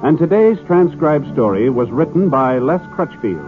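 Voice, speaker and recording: Male American vintage network announcer: formal, deliberate, slightly high voice, scripted read, thin radio bandwidth. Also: animated. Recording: narrow-band 1950s radio, music behind.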